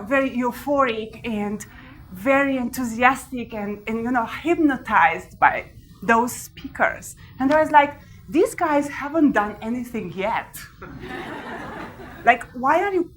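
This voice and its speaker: A woman in her forties with a Romanian accent, giving a live talk to an audience with animated storytelling and frequent laughter. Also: on the high side, measured pace, a little hiss or hum, poor audio. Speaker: speaker. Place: large event hall with an audience.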